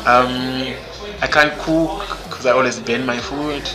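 A man's voice: mid-range voice, fairly even intonation, takes it slowly, crowd noise. A young man from Zimbabwe, talking casually and emphatically as a guest in an interview. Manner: outspoken and emphatic. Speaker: podcast guest. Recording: music playing clearly underneath.

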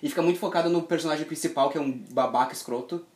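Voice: medium pitch, very fast, a little mellow, slight background noise. A podcast co-host, blunt and opinionated, swearing freely in casual chat. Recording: roomy.

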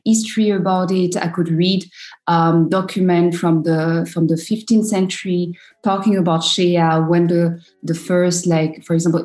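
Woman, 30s, from Côte d'Ivoire; thoughtful interview guest, lowish voice, roomy echo. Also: dark tone. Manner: thoughtful, many restarts, frequent laughs. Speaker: guest, shea butter entrepreneur. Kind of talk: casual interview conversation.

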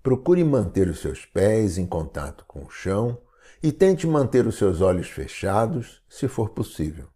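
A Brazilian man narrating a guided meditation in a calm, soothing, deliberate manner. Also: fairly low pitch, breathy edge.

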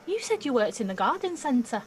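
An actress playing a blunt, giddy nineteen-year-old apprentice in an audio drama, with a cheeky, informal way of talking. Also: female voice, a little high, very animated, fairly quick, a little hiss or hum. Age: young adult. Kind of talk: naturalistic audio drama acting.

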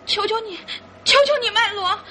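An actress playing an anxious, secretive young wife in an old-fashioned radio drama, faltering and pleading. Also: female voice, very high voice, steady tone, moderate pace, bright, forceful tone, slight background noise. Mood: desperate pleading. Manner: faltering, breathy pleading with stammers.

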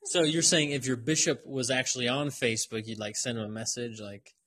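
A man's voice: mid-range voice, steady tone, fairly quick, a bit bright, slightly rough. Joking man hosting a classroom podcast. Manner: joking, laughs often. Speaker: podcast host and college instructor. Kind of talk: casual classroom podcast chat. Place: classroom on a college campus.

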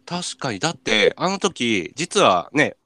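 A man's voice: mid-range voice, sing-song, slow, pushed and bright, no room sound. A man with a Kansai Japanese accent in casual radio banter, informal and full of agreeing interjections as co-host. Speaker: radio talk show co-host.

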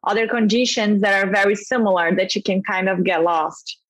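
A woman's voice: mid-range voice, a little fast, dry recording, rough recording. A woman hosting an interview in English, which is not her first language, asking curious follow-up questions. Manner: curious, laughs at herself over hard words. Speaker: podcast host interviewer.

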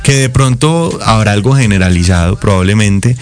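A man's voice: fairly low pitch, normal speed, soft background music. A man from Colombia with a Colombian accent, a guest in a casual interview who explains things at length, listing examples.

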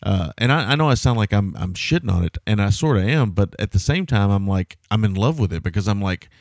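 A man's voice: on the low side, lively intonation, brisk, darkish tone, dry recording, studio quality. A man co-hosting a podcast, reviewing a film in casual, rambling, amused talk. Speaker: podcast co-host. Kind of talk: casual movie review chat.

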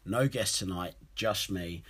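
A hoarse young man hosting his podcast alone, talking candidly and rambling with fillers. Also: sits low, unhurried, a bit bright, dry recording. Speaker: podcast host. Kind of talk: solo podcast monologue.

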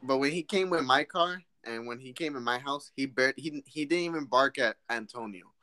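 A man in his twenties co-hosting a casual podcast chat, rambling and restarting his sentences, getting excited. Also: medium pitch, moderate pace. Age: 20s. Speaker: podcast co-host.

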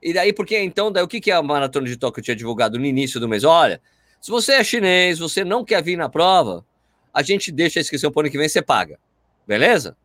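Fast-talking animated Brazilian man. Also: a little high, no echo. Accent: Brazilian Portuguese. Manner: animated, opinionated, quick rants with slang. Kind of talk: casual chat about running.

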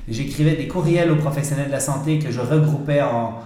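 A man's voice: mid-range voice, fast talker, warm tone. A man in his forties with a Quebec French accent touched by Swiss, explaining things casually as an interview guest. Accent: Quebec French mixed with some Swiss. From Switzerland and Quebec, Canada. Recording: very echoey, reverberant room.

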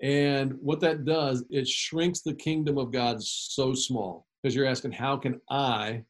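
A man's voice: medium pitch, steady tone, slow pace. A man as a guest author, answering in an emphatic, preacherly way and repeating key phrases.

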